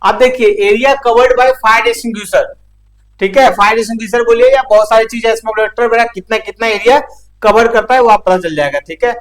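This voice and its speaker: A man's voice: very high voice, fast, a bit bright, rough recording. A man giving an informal practical technical lecture, explaining step by step with frequent check-in tags. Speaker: instructor.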